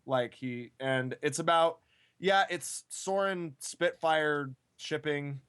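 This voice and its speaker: A man with a fairly high voice talks in a casual group chat. He rambles, often doubling back and repeating words as he works out what he wants to say. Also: a bit bright, clean tone. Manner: rambling, halting, stammering repetitions. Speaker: podcast participant, amateur voice actor.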